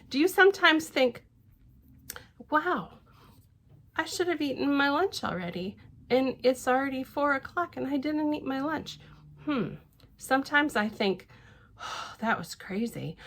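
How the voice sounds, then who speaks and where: A woman reading a storybook aloud to young children, animated and sing-song, with chatty asides and repeated little affirmations. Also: sits high. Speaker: children's librarian and storytime reader.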